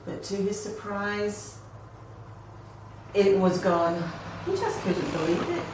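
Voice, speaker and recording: A woman storyteller reads a rhyming picture book with theatrical expression. Her pace is slow and her pitch is middling. She is heard from a little way off in a very echoey room. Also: fairly even intonation. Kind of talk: children's picture book read aloud in rhyme. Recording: echoey room, distant mic, faint noise.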